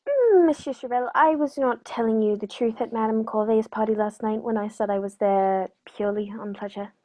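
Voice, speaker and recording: A woman playing a courteous, shrewd gentleman detective in a formal, measured radio-play manner. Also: fairly high pitch, natural voice. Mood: composed. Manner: polite, measured, formal, knowing. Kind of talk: 1940s radio play acting.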